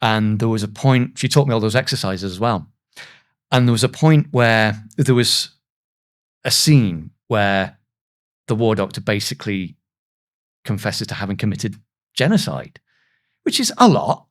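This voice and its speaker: A man speaking reflectively as an interview guest, earnest and self-deprecating, with halting pauses as he gathers his thoughts. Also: on the low side, lively intonation. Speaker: voice actor, podcast guest.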